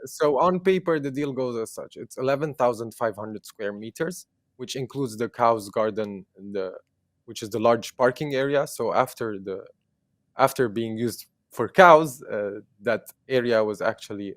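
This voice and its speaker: A man from Jerusalem, Armenian his first language, speaks earnestly as an interview guest with a low voice. He halts often on fillers and restarts, and the sound is dry and close. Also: normal speed, dry recording. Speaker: activist guest.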